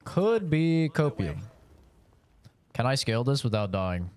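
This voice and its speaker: A man's voice: mid-range voice, sing-song, unhurried, a little mellow. A young man chatting casually while streaming a game, rambling and swearing in a laid-back way. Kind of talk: casual live-stream chat while gaming.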